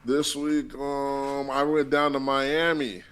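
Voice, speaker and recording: A man in relaxed podcast chat with a middle-range voice. He talks loosely and profanely, often restarting sentences mid-thought as he jokes with friends. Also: medium pitch, takes it slowly, smooth. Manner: loose, laughing, swearing banter with frequent restarts. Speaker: podcast co-host. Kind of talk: casual chat.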